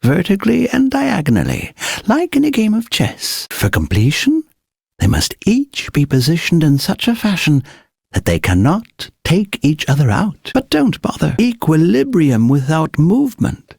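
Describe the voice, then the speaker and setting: An actor playing a solitary, cryptic philosopher in a video game, speaking in measured, imperious aphorisms. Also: mid-range voice, wildly swooping pitch, gravelly, darkish tone, slightly rough, dry recording, clean studio sound, exaggerated voice. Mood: lecturing. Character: solitary, cryptic philosopher living alone among candles. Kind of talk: video game character dialogue.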